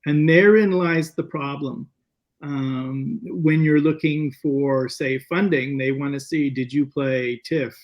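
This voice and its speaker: A Canadian man talking casually as a filmmaker guest in an interview, plain-spoken and a little halting. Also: a little high, steady tone.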